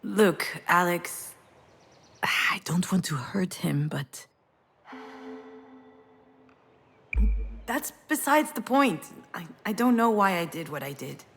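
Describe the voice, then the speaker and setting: A woman's voice: lowish voice, sing-song, a little slow, creaky, a bit bright, breathy edge, exaggerated voice. An actress playing a wistful, wounded woman parting from her ex, speaking in a reflective, intimate way in a naturalistic game performance. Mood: torn, resigned. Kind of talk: naturalistic visual novel voice acting.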